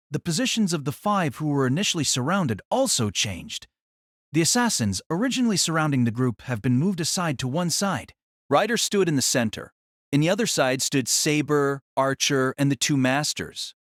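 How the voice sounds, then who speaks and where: Dramatic, animated male story narrator. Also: a little high, dry recording, studio quality.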